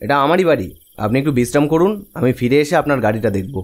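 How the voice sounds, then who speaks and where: An actor playing an offhand, reassuring and mysterious village car mechanic in a horror cartoon. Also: male voice, mid-range voice, lively intonation, rapid-fire, lightly acted. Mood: calmly reassuring.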